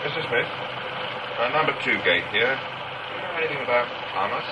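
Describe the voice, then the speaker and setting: An actor in a radio drama playing a stubborn, officious security guard with an official manner. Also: male voice, mid-range voice, expressive, normal speed, natural voice. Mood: backing down. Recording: dull sound, clear vehicle noise.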